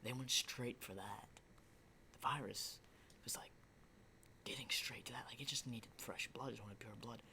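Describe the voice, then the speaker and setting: A man playing a battle-hardened, rattled survivor of a zombie apocalypse in an ASMR roleplay, rambling and swearing in hushed, halting speech. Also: mid-range voice, fairly even intonation. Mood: grim, boastful.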